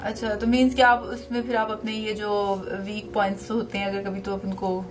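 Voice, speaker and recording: A woman hosting a live TV morning show with a hoarse, sore throat. She chats easily, laughs often and slips English words into her talk. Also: medium pitch, flat delivery, moderate pace, over music. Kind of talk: live TV interview chat. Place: TV studio.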